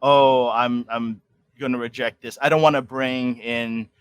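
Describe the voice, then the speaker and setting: A man with a plain General American accent, talking casually as a podcast guest. He rambles through his stories with frequent restarts, at a middling pitch. The sound is close and dry, with no room around it. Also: fairly even intonation, a little slow, clean tone, no room sound. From United States. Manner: rambling storytelling with many restarts.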